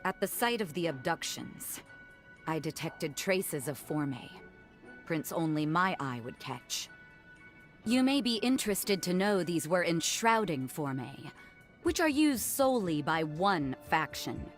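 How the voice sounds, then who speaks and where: A woman's voice: fairly low pitch, big pitch swings, unhurried, soft background music. A woman voicing a defiant, sardonic noblewoman in a video game, with a blunt, commanding, barbed way of talking. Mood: cold, threatening. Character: defiant, sardonic noblewoman ruling a sunken city. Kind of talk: scripted video game cutscene acting.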